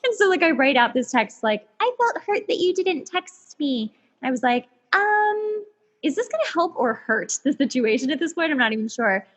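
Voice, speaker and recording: A woman's voice: high voice, very animated, moderate pace. A woman chatting casually as a podcast guest, slangy and self-mocking, often quoting her own thoughts. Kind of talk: casual chat.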